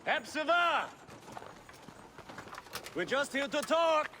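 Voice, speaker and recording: A bright, high-voiced shouting soldier. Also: male voice, slow pace, a little hiss or hum, full character voice. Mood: menacing. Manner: shouting from a distance, mockingly calm. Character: menacing soldier calling out a house. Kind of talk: video game cutscene.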